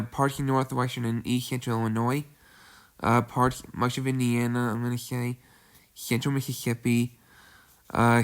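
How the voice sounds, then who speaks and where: A man with a stuffy, congested voice hosting a casual severe weather briefing, halting and repeating himself with many fillers. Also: medium pitch. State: congested from a cold. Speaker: weather video host. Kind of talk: casual weather briefing over outlook maps.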